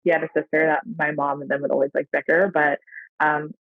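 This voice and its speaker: Brief: American woman, podcast guest, gravelly and rambling, dry phone-quality audio. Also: low voice, little pitch movement, brisk, no room sound. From San Francisco Bay Area, USA. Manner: reflective, rambling, heavy on fillers. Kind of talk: casual interview chat. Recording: tinny phone-like dry line.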